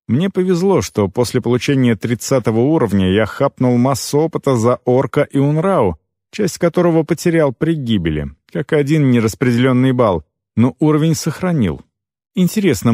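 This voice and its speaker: A man reading a first-person fantasy novel aloud as an audiobook narrator, with expressive storytelling. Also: mid-range voice, no room sound, studio quality.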